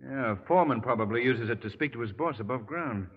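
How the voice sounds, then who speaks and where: An actor playing an earnest, protective gentleman hero with an American accent, acted dramatically in 1930s radio style. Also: mid-range voice, lively intonation, fast talker, slightly rough. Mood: calm, reassuring. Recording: muffled old radio recording with hall reverb.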